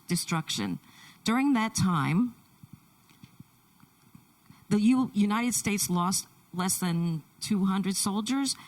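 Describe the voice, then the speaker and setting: Woman pastor heard from a distance. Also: on the low side. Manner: speaks in short, deliberate phrases. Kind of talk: introducing and interviewing a guest at a church service. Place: church sanctuary.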